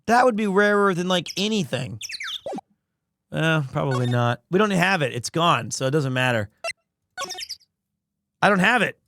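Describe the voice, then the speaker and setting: A man's voice: a little high, animated, moderate pace, long pauses, background noise. A man giving casual commentary as he plays and reads out a video game on a livestream. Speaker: game streamer. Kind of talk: casual live playthrough commentary, reading game text aloud. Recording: music behind the voice. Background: game music.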